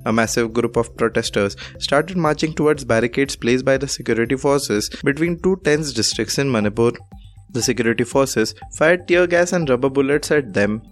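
A male news presenter with an Indian accent reads from a script in a formal, measured manner. His voice sits at a medium pitch, with music playing clearly behind him.

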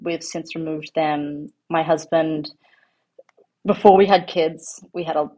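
A woman's voice: sits low, steady tone, unhurried, warm tone. An Australian woman in her thirties, talking casually as an interview guest, rambling with many ums and restarts. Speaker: guest, home farmer.

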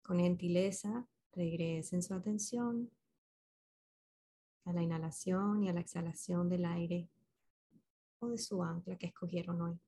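A woman leading a guided meditation as a facilitator, speaking gently and unhurriedly with pauses between short instructions. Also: lowish voice, fairly even intonation, breathy edge.

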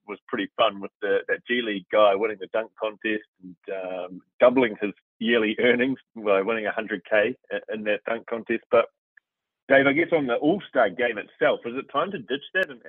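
A man's voice: fairly low pitch, dry recording. A man hosting a sports podcast in a casual, chatty style over a thin connection. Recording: thin, phone-like radio-style sound.

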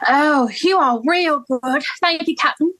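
A woman's voice: high voice, fairly bright tone, low-quality recording. A woman playing an indignant young flower girl in an amateur play reading. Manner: indignant, quick to protest. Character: young cockney flower girl, defiant and touchy.

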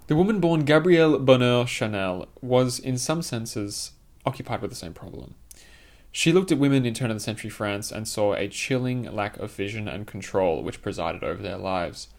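A man's voice: lowish voice, animated, moderate pace, gravelly, a little mellow. A man reading his own scripted essay aloud, in a formal, literary and opinionated manner.

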